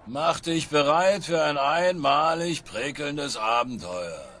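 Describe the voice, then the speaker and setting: A man's voice: fairly high pitch, animated, slow pace, fairly bright tone, slight background noise. A man playing a fussy, hesitant dinosaur ride operator in a children's audio play. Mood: bumbling, dutiful. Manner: dawdling, hesitant, reciting safety warnings. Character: slow, bumbling, fussy fairground ride operator. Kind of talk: children's audio drama acting.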